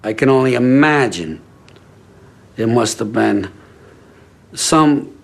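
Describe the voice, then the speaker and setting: A man's voice: medium pitch, lively intonation, slow, vocal fry. A man giving reflective commentary as a documentary interviewee. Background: faint background music.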